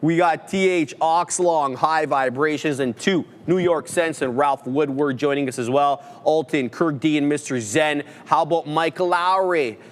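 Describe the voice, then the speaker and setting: Hype-man co-host, slightly high voice. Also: a little slow, few pauses, long reverb tail. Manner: energetic, hype-man delivery. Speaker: co-host of live trading show. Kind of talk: hyped live-stream shout-outs.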